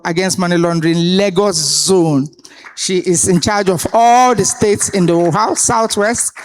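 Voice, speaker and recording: Female panel moderator, measured and authoritative, at a conference. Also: mid-range voice, darkish tone. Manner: deliberate, authoritative delivery with pauses. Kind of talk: introducing panelists at a live conference. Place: conference hall stage.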